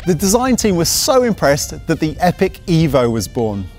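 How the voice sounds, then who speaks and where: A young man narrating a scripted mountain bike review in a brisk, technical, matter-of-fact way, speaking outdoors in woodland on a lapel mic. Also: fairly high pitch, sing-song, a little mellow. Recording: faint music underneath.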